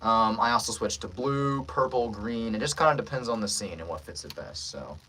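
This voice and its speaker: A man's voice: lowish voice, expressive, normal speed, pushed and bright, breathy edge, poor audio. A man hosting a casual livestream as a voice actor, joking playfully with the viewers as he talks.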